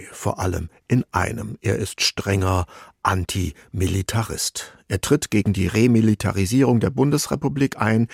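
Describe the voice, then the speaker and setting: A man's voice: mid-range voice, vocal fry, breathy edge, no echo, clean studio sound. A man presenting a cultural radio feature as scripted narration.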